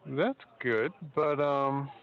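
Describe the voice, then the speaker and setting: Male support agent, average pitch, calm and methodical, slightly hesitant, muffled phone-call sound. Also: drawn-out words. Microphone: telephone line.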